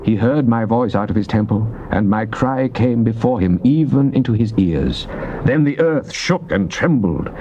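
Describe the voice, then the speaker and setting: An actor playing a devout, earnest psalmist, reciting scripture in a solemn, measured, declamatory theatrical style. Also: male voice, fairly low pitch, expressive, warm tone, close to an everyday voice. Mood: fervent. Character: devout psalmist, earnest man praying to God. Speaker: dramatized scripture reader. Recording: dull noisy cassette tape transfer.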